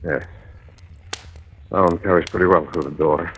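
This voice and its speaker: An actor playing an ailing, cranky male robbery victim, halting and curt, in a 1940s radio drama. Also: low voice, fairly even intonation, a bit bright. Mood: weak, irritable. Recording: noisy, crackly old radio recording.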